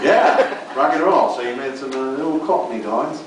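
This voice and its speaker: A man's voice: medium pitch, animated, normal speed. A man hosting a live panel, chatting casually and joking in an informal way with the audience and guests. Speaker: host of a live radio show panel. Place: large convention room with audience. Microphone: far camera in the audience. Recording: echoey hall, distant camera, noisy crowd.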